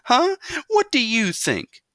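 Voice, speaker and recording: A man's voice: fairly high pitch, very animated, moderate pace, pushed and bright, no echo, clean studio sound, voice pushed up. A man voicing a stern, exasperated mother scolding her son in a storybook reading.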